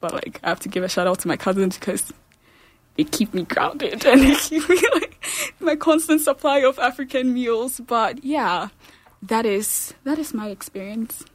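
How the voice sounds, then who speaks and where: A woman's voice: fairly high pitch, animated, moderate pace. A young woman from Ghana, a student union staffer, chatting upbeat and casually on a campus radio show. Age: young adult.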